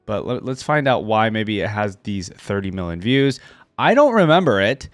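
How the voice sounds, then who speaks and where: A man's voice: lowish voice, sing-song, normal speed, dry recording, clean studio sound. Man reacting casually, thinking aloud. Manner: musing, thinking aloud in short remarks. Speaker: reaction video host. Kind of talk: casual off-the-cuff reaction commentary.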